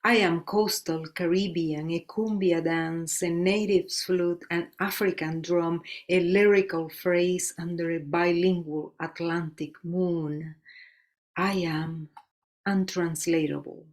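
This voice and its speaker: Slow, measured woman. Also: on the low side, steady tone. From Colombia. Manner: slow, measured recitation with Spanish words. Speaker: poet. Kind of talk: poetry reading.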